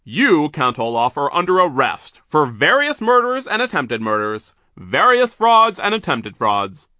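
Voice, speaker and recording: A man's voice: mid-range voice, sharp tone, no room sound, clean studio sound, pitched above the performer's own voice. A man voicing a stern, pompous middle-aged banker who speaks formally and with emphasis.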